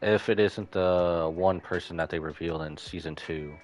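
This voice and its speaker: A man's voice: low voice, steady tone, normal speed, soft background music. A man doing casual livestream commentary on a game, musing aloud in short, informal remarks. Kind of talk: casual gaming stream commentary.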